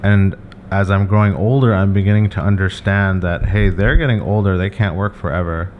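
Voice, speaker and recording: A young man from the US with a somewhat low voice tells stories as a podcast guest. He pokes fun at himself and chuckles through his anecdotes. A faint background hiss is present. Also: fairly even intonation, a little fast, narrow, tinny audio. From United States. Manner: self-mocking humor, chuckling. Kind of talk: casual interview chat.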